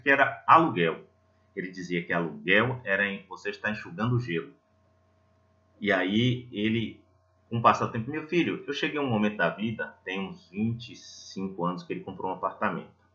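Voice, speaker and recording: Brazilian guy doing a spiritual talk, forceful bright voice, kinda dull recording, asks listeners questions. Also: lowish voice. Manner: didactic, conversational, poses rhetorical questions to listeners. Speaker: lay preacher at a Spiritist centre. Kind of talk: religious talk with storytelling and reflective questions.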